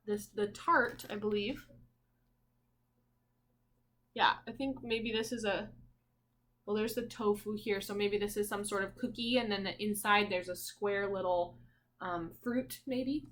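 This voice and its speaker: A woman's voice: mid-range voice, fairly even intonation, unhurried, long pauses, a bit bright. A woman teacher reading a picture book aloud to young children in a sing-song way, prompting listeners with questions.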